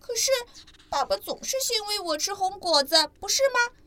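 A woman's voice: extremely high pitch, animated, moderate pace, bright, forceful tone, voice pushed up, heavily acted. A woman voicing a boastful little baby ankylosaur with a smug, childish way of talking.

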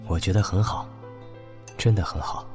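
A man's voice: deep voice, normal speed, close to an everyday voice. An actor playing a reflective, tender man looking back on his life in a Mandarin radio drama. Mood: wistful. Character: man wistfully narrating a lost past, warm husband and father. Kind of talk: Mandarin radio drama acting with inner monologue. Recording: music under the voice.

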